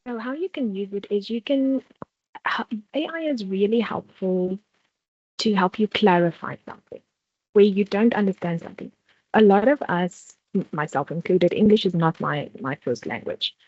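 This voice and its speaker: A South African woman leads a university study workshop in a steady, explanatory way, pausing on "um" and restarting her phrases. The sound is dull and lo-fi, with a dry, close quality and no room around her voice. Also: mid-range voice, no echo. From South Africa, Free State. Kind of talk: online exam-preparation workshop talk.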